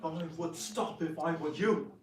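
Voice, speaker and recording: A man playing a wronged royal lord in a dramatic reading, stern and forceful as his patience gives way to wrath. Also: on the high side, a little slow, natural voice. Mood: stern warning. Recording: roomy, distant live recording, crowd sounds.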